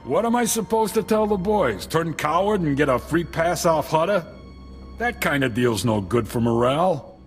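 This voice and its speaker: An actor playing a hard-nosed, slangy street gang leader in a video game cutscene. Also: male voice, a little high, lively intonation, normal speed, faint music behind, lightly acted. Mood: grudging. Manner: tough, slangy.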